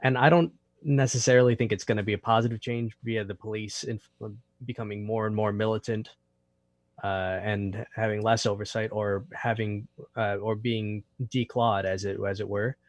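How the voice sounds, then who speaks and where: Male panelist, fairly low register, very dry sound. Deliberate, thoughtful, slightly halting. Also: steady tone, no echo. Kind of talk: considered opinion in casual discussion.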